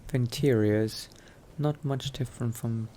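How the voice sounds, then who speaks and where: A man narrating his video game roleplay in character, thinking aloud with pauses. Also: medium pitch, warm tone, a little hiss or hum.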